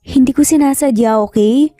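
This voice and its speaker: A woman's voice: high-pitched, moderate pace, warm tone, no room sound, studio quality, faint music behind, voice pushed up, close to an everyday voice. A woman voicing a lovesick, pleading teenage younger sister in an emotional audio story. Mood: pleading, upset.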